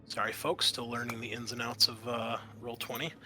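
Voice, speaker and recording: A man's voice: medium pitch, level tone, moderate pace, pushed and bright, soft background music. A man working as game master in a tabletop roleplaying game, explaining things in a conversational way. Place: online voice call.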